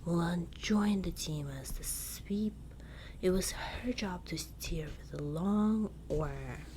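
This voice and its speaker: A woman reading a children's storybook in ASMR style, soft-spoken and deliberate, often slipping into a whisper. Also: on the low side. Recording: clear background noise.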